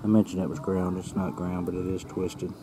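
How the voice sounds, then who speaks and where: Low-voiced man, folksy and plainspoken. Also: flat delivery, brisk. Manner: plainspoken, folksy, musing in short phrases. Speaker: artifact hunter filming his finds. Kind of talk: casual first-person show-and-tell commentary. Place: outdoors, handheld camera close to the ground. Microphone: handheld camera mic. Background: radio music playing in the background.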